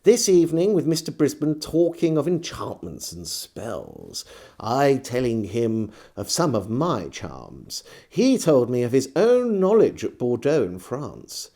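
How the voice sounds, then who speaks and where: A man reading a historical diary aloud as a storyteller, phrasing it expressively and measuredly, with deliberate pauses. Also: mid-range voice, wildly swooping pitch, gravelly, dark tone. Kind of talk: dramatised audiobook narration of a 17th century diary.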